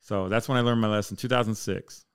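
A man's voice: on the low side, steady tone, quick pace, clear, resonant tone. A man in his thirties, a fire chief, chatting casually and telling rambling stories with easy swearing. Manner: laid-back, swears casually, rambling storyteller. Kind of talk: casual podcast chat.

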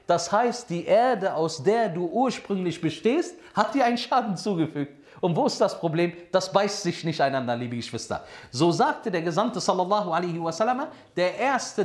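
A man's voice: high-pitched, roomy sound. A man preaching a sermon lesson, asking his listeners questions and answering them himself, with Arabic phrases mixed into his talk. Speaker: Islamic preacher.

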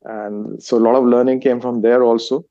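A middle-aged man with an Indian English accent, whose first language is Hindi. He speaks in a halting, rambling way and strings long lists together. The sound is dull and dry, like a remote call. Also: medium pitch, little pitch movement, no room sound. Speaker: meditation teacher and author as guest. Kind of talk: interview answers.

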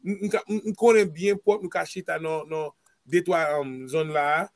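Male co-host, relaxed slangy talk, slightly high voice, dry close sound. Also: moderate pace, no echo. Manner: relaxed, slangy, mixes in French. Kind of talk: casual interview conversation.

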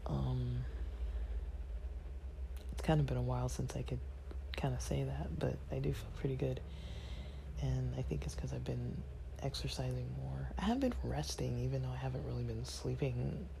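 A man hosting his own channel, talking casually to viewers at home in a raspy, half-whispered voice, rambling with lots of fillers and feeling a little unwell. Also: mid-range voice, normal speed, pauses often, noisy background. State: a bit unwell, rested. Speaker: channel host.

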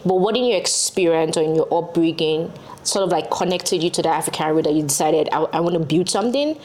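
A woman's voice: low voice, fast talker, darkish tone. A woman hosting a YouTube interview, chatting in an upbeat, giggly way. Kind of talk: casual interview chat.

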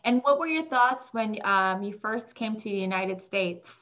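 A woman's voice: medium pitch, moderate pace, fairly bright tone, lo-fi sound. A woman hosting a podcast, opening the show and asking her guest friendly, encouraging questions. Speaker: podcast host. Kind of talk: podcast intro and interview.

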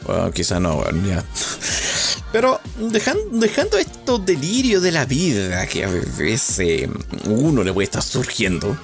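A man's voice: mid-range voice, wildly swooping pitch, unhurried, creaky, faint music behind. A man with a Chilean accent, hosting a rambling, self-mocking podcast monologue with lots of swearing. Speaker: podcast host.

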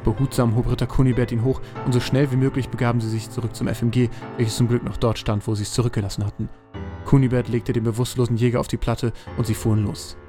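A man narrates an audio drama at a quick pace, his pitch in an average male range. Clear music plays behind his scripted storytelling. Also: little pitch movement.

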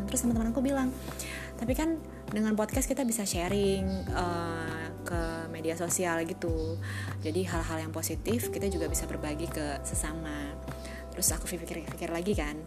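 Music plays clearly behind a young woman. Her voice sits at a medium pitch, and she talks in a chatty, informal way, like a new podcaster addressing friends. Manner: chatty, informal, often chuckling. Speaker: first-time podcast host. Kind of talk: casual solo podcast chat.

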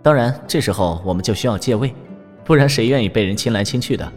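A man playing a gentle, admired university teacher with a soft, playful, teasing way of talking in an audio drama. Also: on the low side, lively intonation, quick pace, natural voice. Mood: poised. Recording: voice over background music.

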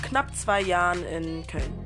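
Early-twenties woman, Berlin accent, lowish voice, reflective guest, faint music bed. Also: animated, slow. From Germany. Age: 20s. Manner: thoughtful, hesitant, many fillers. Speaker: podcast guest. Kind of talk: casual conversation.

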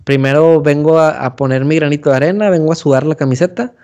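A man in casual chat, slangy and animated, acting out quoted voices. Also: a little high, fast. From Monterrey, Mexico.

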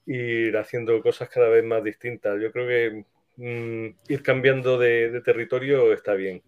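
Halting Spanish man, slightly dull sound. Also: mid-range voice, flat delivery, clean tone. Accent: Castilian Spanish. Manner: halting, thoughtful, frequent pauses. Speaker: interview guest, screenwriter. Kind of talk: casual interview answers.

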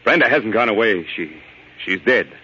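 An actor playing an earnest lawyer husband with a hesitant, melodramatic delivery in 1940s radio drama style. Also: male voice, fairly low pitch, expressive, moderate pace, bright, forceful tone, slightly rough, heavily acted. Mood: nervous, evasive. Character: smooth-talking lawyer husband feigning worried innocence. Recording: dull old radio broadcast.

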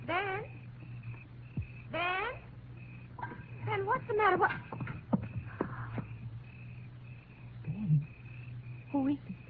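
An actress playing an easygoing, protective rancher's wife in a 1950s radio drama. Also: female voice, very high voice, huge pitch swings, slow pace, heavily acted. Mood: worried. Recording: noisy, tinny old radio broadcast recording.

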